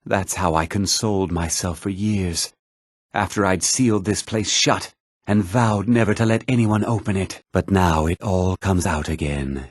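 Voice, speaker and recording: A man playing a grave older mage in a video game, with a measured, formal, theatrical delivery. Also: sits low, fairly even intonation, slightly rough, no echo, clean studio sound. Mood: remorseful. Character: grave older male mage, stern college leader, haunted by guilt. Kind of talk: dramatic video game voice acting.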